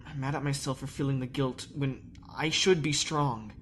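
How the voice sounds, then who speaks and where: Man playing an earnest, mid-pitched troubled cadet. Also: steady tone, moderate pace, slight background noise, natural voice. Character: earnest, self-reproaching young male cadet, determined. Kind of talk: anime parody acting.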